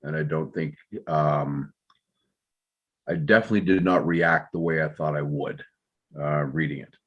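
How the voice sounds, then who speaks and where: A man giving halting, heartfelt thanks after a table read, on a dull, muffled microphone. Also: very deep voice, fairly even intonation, slightly rough.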